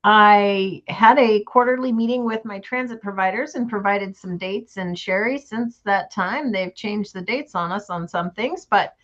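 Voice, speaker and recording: A woman's voice: medium pitch, a bit bright. Lady losing her voice giving a funding update, lots of ums, kinda muffled. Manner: halting, many ums. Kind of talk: giving an agency update at a meeting.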